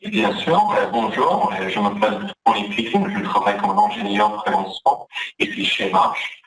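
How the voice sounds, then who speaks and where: A man's voice: fairly low pitch, very animated, normal speed, creaky, a bit bright. An Irish man in his thirties, a native English speaker with an Irish accent, introducing himself hesitantly as a panel guest. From Ireland. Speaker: engineer on a discussion panel. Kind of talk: unscripted self-introduction, measured and hesitant. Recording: roomy, distant microphone with faint noise.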